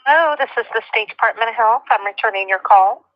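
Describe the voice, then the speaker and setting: A woman's voice: fairly high pitch, quick pace, no room sound. An American woman on a phone call, explaining procedure as an official in a matter-of-fact, instructive way. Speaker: state health department representative. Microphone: telephone. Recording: dull lo-fi telephone line.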